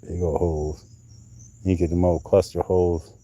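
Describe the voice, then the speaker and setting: A man's voice: sits low, level tone, moderate pace, mellow. A man hosting a live stream, chatting casually and rambling as he shows pieces of coral, often trailing off mid-sentence. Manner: handling and showing coral pieces.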